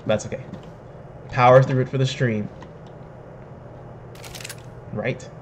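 A young man with a slightly hoarse voice, chatting casually and jokingly while he plays and reads game text aloud. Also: medium pitch, steady tone, slow pace, noisy background. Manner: chatty, joking, laughs at himself. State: slightly hoarse, says he is sick and losing his voice. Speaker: streamer playing a video game. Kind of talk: casual let's-play commentary, reading in-game text aloud. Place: home room, webcam in corner.

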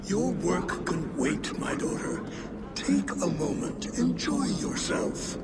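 A man's voice: sits high, very animated, takes it slowly, heavily acted. An older actor playing an old, boisterous and imperious frost giant king in a video game, with a grand, commanding, aged delivery. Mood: insistent. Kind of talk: video game cutscene acting. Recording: loud ambient noise behind.

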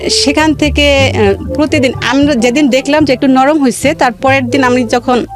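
A woman from rural Bangladesh, telling her own life story in a radio interview, halting now and then and repeating phrases for emphasis. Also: high-pitched, music in the background.

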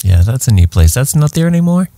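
A middle-aged man co-hosting a podcast in an easygoing, casual chat, often laughing softly. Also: medium pitch, very animated, fast, no room sound. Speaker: podcast co-host.

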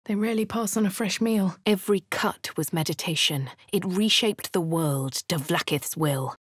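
A woman's voice: lowish voice, normal speed, dry recording, lightly acted. An actress voicing a posh female adventurer in a fantasy game, narrating and remarking in a refined, dry manner. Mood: dry, composed. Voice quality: crisp upper-class English diction. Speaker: player character. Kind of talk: game voice lines.

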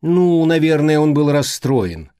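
A man's voice: fairly high pitch, very animated, unhurried, mellow, no room sound, clean studio sound. A man voicing a reserved, literal-minded female secretary in an audiobook, speaking in a hesitant, matter-of-fact way. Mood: unsure. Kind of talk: audiobook character dialogue.

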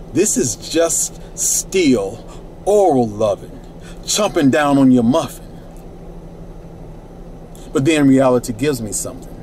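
A man's voice: a little high, a little hiss or hum. A middle-aged man reciting his own rhyming spoken word poetry in a laid-back, rhythmic way from inside a parked minivan at night.

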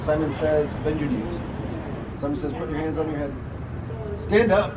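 A man's voice: a little high, normal speed, over a noisy crowd, low-quality recording. A man in his thirties co-hosting a family vlog, playing games with his kids and laughing often, recorded outdoors on a city sidewalk on a handheld camera. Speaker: vlog co-host and father. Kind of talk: casual family vlog chat.

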